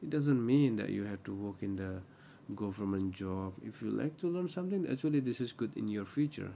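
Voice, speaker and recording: A man from Java talks through language-learning tips in a loose, halting way, hopping between two languages. His Javanese accent colors every word. The voice sits low with a gravelly edge and a dark, mellow tone. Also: lively intonation, slight background noise. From Java, Indonesia. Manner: mixes English and Indonesian, hesitant with many fillers. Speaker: vlogger giving tips. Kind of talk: casual commentary.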